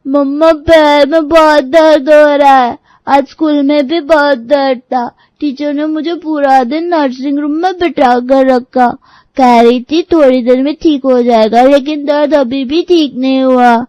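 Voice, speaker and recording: A woman voicing a tearful little schoolboy in a children's story, whining plaintively with drawn-out words. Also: high-pitched, fairly even intonation, mellow, dry recording, pitched above the performer's own voice. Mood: pained, tearful.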